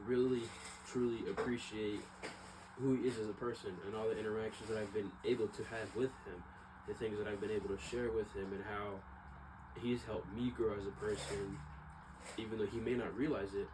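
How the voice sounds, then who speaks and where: A man's voice: lowish voice, flat delivery, moderate pace. Reflective young American man, noisy recording. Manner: rambling, reflective, repeats himself. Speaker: vlogger. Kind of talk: casual personal monologue.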